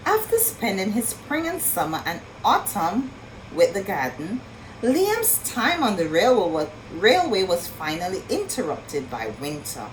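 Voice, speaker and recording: Lady doing a slow storytime read for little kids, lots of up and down, noise in the background. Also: medium pitch, huge pitch swings, poor audio. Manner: asks questions and marvels at pictures, speaking to children. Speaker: storyteller reading a picture book aloud. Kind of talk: expressive children's read-aloud with asides to young listeners.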